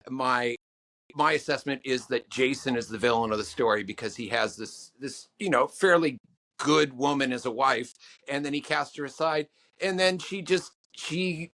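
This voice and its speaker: A man being interviewed as a songwriting guest, talking in halting stops and restarts with many fillers. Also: fairly bright tone. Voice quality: low voice. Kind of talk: podcast interview answers.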